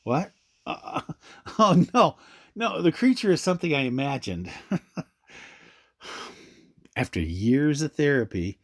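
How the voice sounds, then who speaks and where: A man's voice: medium pitch, breathy edge, dry recording, clean studio sound, heavily acted. An actor playing an easygoing male park ranger in an audio drama, talking in a conversational, somewhat hesitant way.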